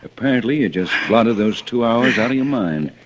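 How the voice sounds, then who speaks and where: An actor playing a kindly, uneasy police lieutenant in an old radio drama, hesitant and courteous. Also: male voice, mid-range voice, lively intonation, moderate pace, close to an everyday voice. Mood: gentle, uneasy. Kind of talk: 1940s-50s radio drama acting. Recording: muffled old radio broadcast, faint noise.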